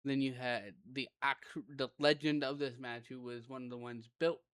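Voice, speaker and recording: A man with a raspy voice talks through a wrestling card in a loose, rambling monologue and corrects himself as he goes. His voice is smooth and resonant under the rasp, and the recording is close and dry with no room sound. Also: mid-range voice, steady tone, normal speed, fairly bright tone, no echo. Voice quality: raspy right now. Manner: rambling, conversational, self-correcting. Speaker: wrestling reviewer. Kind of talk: casual solo show review.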